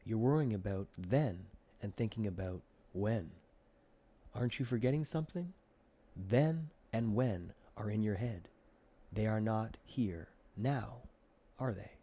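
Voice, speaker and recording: A man voicing a wise, patient old grandfather in a children's audiobook, calm, measured and gently questioning. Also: lowish voice. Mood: calm, instructive.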